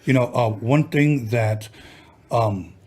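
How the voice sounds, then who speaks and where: A man of middle pitch hosting a relaxed interview. He chimes in with quick affirmations and asks long, meandering questions. His tone stays easygoing. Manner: laid-back, brief affirmations, rambling questions. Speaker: podcast host. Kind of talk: casual interview.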